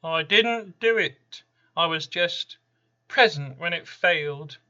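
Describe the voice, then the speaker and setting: An apocalyptic spirit of misfortune, acted out by a man reading a poem declaims with smug, indifferent menace. The voice is raised high and forceful, each pronouncement slow and certain, on a slightly dull recording. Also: full character voice. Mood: shrugging denial. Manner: declamatory, ominous, smugly self-assured.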